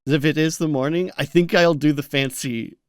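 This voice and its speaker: A man's voice: fairly high pitch, steady tone, brisk, clean tone, no room sound, clean studio sound. A man co-hosting a casual gaming discussion, with a playfully teasing way of talking. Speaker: podcast co-host.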